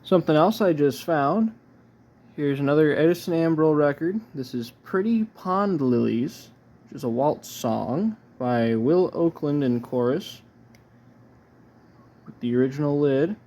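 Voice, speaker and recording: A man giving casual, low-key unboxing commentary as a collector, halting often as he thinks aloud. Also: expressive, mellow. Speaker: record collector presenting his haul.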